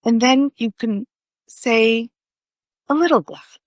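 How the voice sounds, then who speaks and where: Older woman, native Greek speaker, slow, rambling, lots of fillers, dry sound. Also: a little high, no room sound. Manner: rambling, many "you know" fillers. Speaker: podcast guest, author. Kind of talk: conversational interview.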